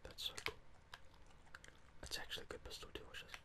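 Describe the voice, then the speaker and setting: A young man whispering casual, slangy ASMR commentary over video game play, close to the mic. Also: fairly quick. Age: young adult. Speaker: gaming streamer.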